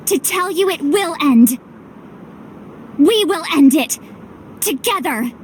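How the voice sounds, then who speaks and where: An actress playing an earnest, determined young heroine in a video game cutscene, speaking emphatically over music. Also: female voice, extremely high pitch, slight background noise, heavily acted. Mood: rousing, resolute. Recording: loud music behind.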